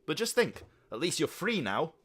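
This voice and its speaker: A man's voice: on the high side, sing-song, moderate pace, fairly bright tone, slightly rough, voice pushed up, full character voice. A man voicing a glib, breezy uncle.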